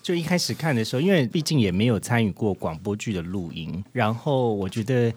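A man's voice: fairly low pitch, expressive, a little fast, darkish tone, slightly rough. A man from Taiwan with a Taiwanese Mandarin accent, chatting casually as a podcast guest, polite but playful and a little campy. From Kaohsiung, Taiwan. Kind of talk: casual chat.

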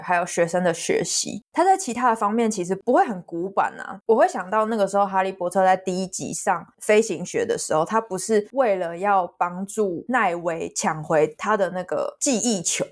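Female co-host, Taiwanese accent, medium pitch, casual conversational delivery for a chat podcast.

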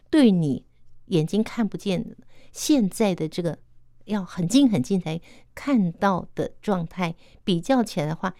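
A woman's voice: mid-range voice, mellow, dry recording, clean studio sound. A woman with a Taiwanese Mandarin accent hosting a radio interview conversationally, attentive and encouraging with her guest.

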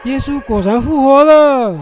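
An actor playing a hospitable, excited villager, exclaiming in a radio Bible drama. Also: male voice, very high-pitched, measured pace, highs cut off, over loud music.

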